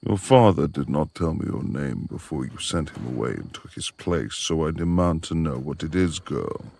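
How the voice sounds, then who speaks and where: A man playing a gruff, reclusive lord of the manor in a romantic ASMR audio roleplay, with gravelly, formal, imperious speech and a tender streak. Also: extremely low pitch, lively intonation, normal speed, darkish tone, heavily acted. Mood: stern, commanding. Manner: formal old-fashioned diction, imperious.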